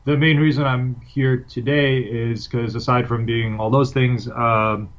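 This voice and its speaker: A man's voice: medium pitch, little pitch movement, measured pace, muffled recording. A man from Ukraine, a writer appearing as a guest, answering questions hesitantly with many ums and uhs. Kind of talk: interview answers.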